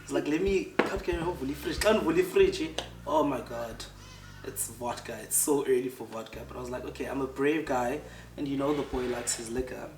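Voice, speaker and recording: A man's voice: mid-range voice, fairly quick, noisy background. A man with a South African accent hosts a casual interview, chatty and playful as he tells stories. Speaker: interview host. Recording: echoey room, mic at a distance.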